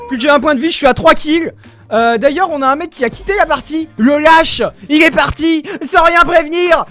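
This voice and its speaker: Excitable high-voiced young man. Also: moderate pace, faint music behind. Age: young adult. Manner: animated, exclaims often, talks to viewers. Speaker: gaming video creator. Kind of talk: excited gameplay commentary. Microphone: headphones with desk mic.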